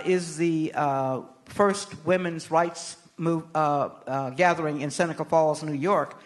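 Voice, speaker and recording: Older woman, American accent, low voice, interview guest, measured and emphatic, oratorical phrasing. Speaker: interview guest, political activist. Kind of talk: live on-stage interview answers.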